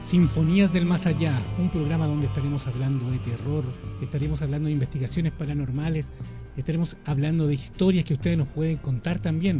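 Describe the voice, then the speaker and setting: A man's voice: a little high, warm tone. A man hosting a late-night radio talk show about horror, chatting easily with his guest. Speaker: radio host. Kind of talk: casual radio chat.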